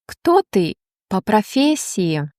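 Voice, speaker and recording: Very slow, clear-spoken woman teacher. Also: mid-range voice, big pitch swings, darkish tone, dry recording, studio quality. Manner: slow, deliberate, pauses between phrases. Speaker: language teacher.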